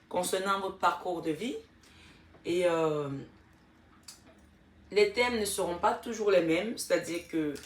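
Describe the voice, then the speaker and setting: A woman's voice: mid-range voice, recorded from a distance. A woman hosting a casual tarot card reading, talking straight to her viewers with lots of fillers and restarts. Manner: chatty, many fillers and restarts, addresses viewers directly. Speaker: tarot reader and channel host. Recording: echoey room, voice from off the mic.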